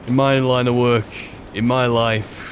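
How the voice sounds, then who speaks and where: A man's voice: medium pitch, flat delivery, measured pace, slight background noise, natural voice. A man playing a wry, grumbling adventurer in a fantasy video game roleplay, musing to himself in a hesitant, politely ironic way. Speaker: roleplaying game player and narrator. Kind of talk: in-character improvised gameplay commentary.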